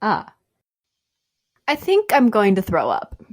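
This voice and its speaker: A woman's voice: medium pitch, wildly swooping pitch, slow pace, a little mellow, full character voice. An actress playing a nervous, self-mocking young woman who feels queasy, in a radio play. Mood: anxious. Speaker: actor.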